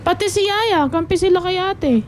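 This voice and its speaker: Young boy, high childlike voice, whiny, pouting, radio drama. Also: moderate pace, a little hiss or hum. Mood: whiny complaining. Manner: whiny, sulky.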